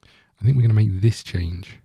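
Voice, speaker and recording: A man with a very dark, relaxed voice on the low side talks through a video game. He rambles casually, thinking aloud as he hosts. The sound is dry and close. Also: normal speed, gravelly, slightly rough, no echo, muffled recording. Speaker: gaming video host. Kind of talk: casual let's-play commentary.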